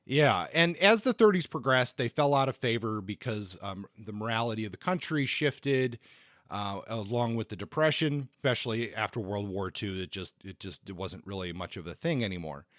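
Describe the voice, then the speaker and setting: Man, low voice, podcast co-host, conversational explainer, halting with repeated words, very dry recording. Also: lively intonation, a bit bright, dry recording. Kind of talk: conversational book discussion, explaining history.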